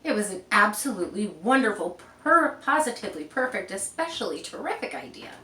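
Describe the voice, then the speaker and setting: A woman's voice: medium pitch, fairly quick. Woman reading a storybook, distant and roomy. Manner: expressive, commenting aside and asking rhetorical questions. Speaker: children's storybook reader. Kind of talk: read-aloud picture book with chatty asides to young listeners. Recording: roomy, distant mic with faint background noise.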